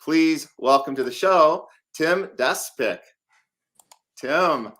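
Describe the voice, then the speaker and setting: A man's voice: a little high, lively intonation, slow pace, a bit bright. Conversational male interview host.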